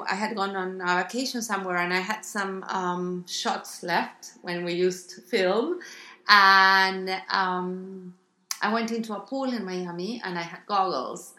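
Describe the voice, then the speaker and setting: Bright-voiced Argentine woman, hesitant. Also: medium pitch, echoey room. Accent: Argentine Spanish. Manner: thoughtful, hesitant, many ums and restarts. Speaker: artist guest. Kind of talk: radio interview.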